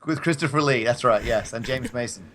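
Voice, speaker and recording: Man, medium pitch, casual podcast guest, rambling banter. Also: a little fast, a bit bright. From Scotland. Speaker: podcast guest, audio drama actor. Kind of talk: casual chat.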